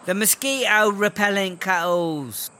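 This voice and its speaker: A brash English battle rapper, acted by a man, with a sharp, forceful voice set a little high. The delivery is crude, sarcastic and bullying, full of slang and mock offence, in the style of comedy audio drama. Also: measured pace, slight background noise. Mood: irritated.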